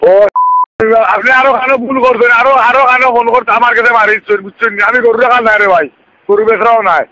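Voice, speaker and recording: A man's voice: extremely high pitch, fairly even intonation, fast talker, sharp tone, no echo. A man with a Sylheti accent arguing on the phone, protesting repeatedly in an exasperated way. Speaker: call recipient. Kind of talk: phone argument. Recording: muffled lo-fi phone call, dry.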